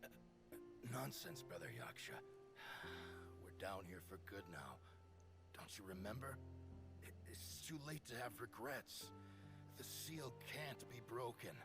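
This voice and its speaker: An actor playing a weary, loyal exorcist in a video game, speaking gently and ruefully. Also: male voice, medium pitch, animated, slow, vocal fry, long pauses, over music. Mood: rueful, resigned. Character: weary, loyal male exorcist trapped underground. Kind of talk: English video game voice acting.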